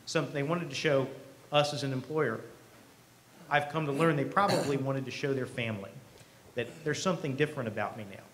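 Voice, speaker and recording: A middle-aged man speaks to an event from a podium microphone, with clear ambient noise around him. His voice sits at an average pitch. He delivers his keynote in a conversational, earnest way, pausing deliberately to drive points home. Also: fairly bright tone, low-quality recording. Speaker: company president, keynote speaker. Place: event hall stage. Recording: live podium speech with clear background noise.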